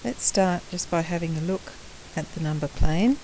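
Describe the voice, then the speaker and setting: Woman, maths teacher, croaky, deep voice, patient explaining, laptop mic at home. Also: warm tone, a little hiss or hum, low-quality recording. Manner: slow, patient explaining, with frequent clearing of the throat. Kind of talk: recorded maths lesson for students.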